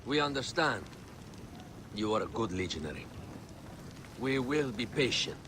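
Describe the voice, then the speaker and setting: Measured Egyptian official, noisy background. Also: male voice, mid-range voice, very animated. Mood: formal, polite. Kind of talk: video game dramatic acting.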